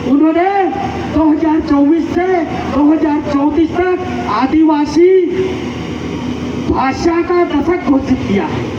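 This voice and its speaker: Impassioned male community speaker, fiery and scolding, heard from afar through a muffled, noisy outdoor recording. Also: very high voice. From Madhya Pradesh, India. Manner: fiery, rousing, scolds listeners. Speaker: community activist addressing a gathering. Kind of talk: impassioned public speech. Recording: echoey, distant, dull, noisy.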